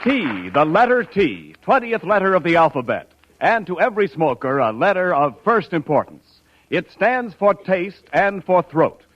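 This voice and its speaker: A man's voice: sits high, big pitch swings, moderate pace, a little hiss or hum. A male commercial announcer reading scripted 1940s radio advertising in an emphatic, polished manner. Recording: dull 1940s radio recording.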